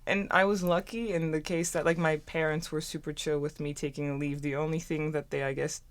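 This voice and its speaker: A woman's voice: low voice, little pitch movement, brisk. A student woman in her twenties from Turkey, a native German speaker, telling her story in a chatty way with lots of restarts. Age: 20s. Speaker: student co-host. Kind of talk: casual conversational storytelling.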